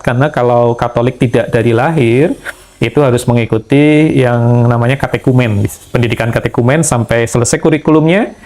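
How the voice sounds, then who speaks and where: Middle-aged man, measured and pausing. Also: mid-range voice, darkish tone. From Indonesia. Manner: measured, with frequent pauses and fillers. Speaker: interview guest. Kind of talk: conversational testimony.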